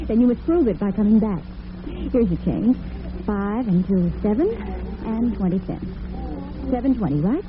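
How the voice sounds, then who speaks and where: A woman's voice: mid-range voice, expressive, moderate pace, lightly acted. An actress playing a devoted, worried young working woman in old-time radio drama, earnest and tender. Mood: polite. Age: young adult. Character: devoted young waitress-cashier daughter, worried but brave. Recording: noisy, tinny vintage radio recording.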